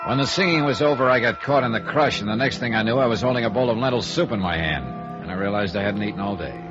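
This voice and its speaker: Actor as a hard-boiled radio noir investigator, American. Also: male voice, lowish voice, quick pace, lightly acted. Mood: wry, watchful. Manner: clipped, dry, hard-boiled. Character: tough, wry male freelance insurance investigator, narrating his case. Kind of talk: 1950s radio noir acting and narration. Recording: dull vintage radio recording with music.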